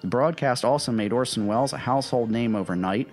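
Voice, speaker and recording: A man's voice: medium pitch, fast, darkish tone, soft background music. A man presenting a history segment in scripted narration, with the odd informal aside. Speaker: presenter of an old-time radio history segment.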